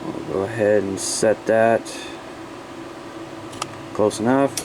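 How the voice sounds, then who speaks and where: Casual man over clear background noise. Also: mid-range voice. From the US Midwest. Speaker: hobbyist vlogger. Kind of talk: casual demonstration and chat to viewers.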